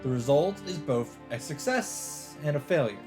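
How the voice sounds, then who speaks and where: A man reading a visual novel aloud as a let's player, earnest and expressive, mixing dramatic narration with casual commentary. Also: a little high, normal speed. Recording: background music under the voice. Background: game music.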